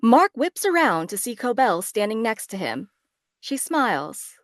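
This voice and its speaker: Casting: woman narrator for script read, medium register, expressive range, music underneath. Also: a little high, a little slow, dry recording, clean studio sound. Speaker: narrator reading stage directions.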